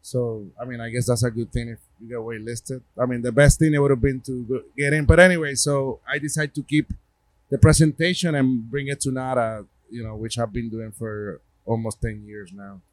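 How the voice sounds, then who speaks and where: A man's voice: medium pitch, fairly even intonation, a little fast, no echo, low-quality recording. A man with a Puerto Rican accent, a native Spanish speaker, telling stories casually as an interview guest, often repeating words as he searches for phrasing. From Puerto Rico. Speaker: gallerist guest.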